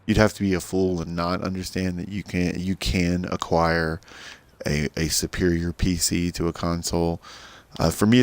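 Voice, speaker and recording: A middle-aged man with a rough smoker's voice, giving easygoing, rambling commentary to viewers while playing a farming game. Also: low voice, dry recording. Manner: rambling, easygoing, self-deprecating. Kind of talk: casual gameplay commentary to viewers.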